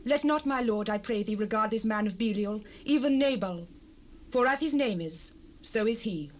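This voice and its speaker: A woman's voice: fairly high pitch, moderate pace, close to an everyday voice. A woman playing a humble, pleading wife in a dramatized King James Bible, earnest and supplicating in formal archaic speech. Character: humble, pleading wife bowing before a warlord. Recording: unprocessed cassette rip with noise.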